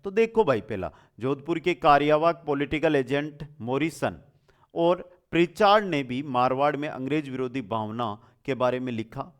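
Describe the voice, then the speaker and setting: A man's voice: mid-range voice, lively intonation, normal speed, smooth. A man teaching an exam-prep quiz lesson, reading out questions and explaining answers in a familiar, affectionate way with repeated key points.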